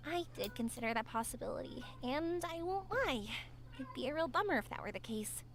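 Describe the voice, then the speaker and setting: A woman's voice: high-pitched, animated, brisk, slight background noise. A woman voicing a cheerful, earnest young woman who speaks reflectively. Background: game music.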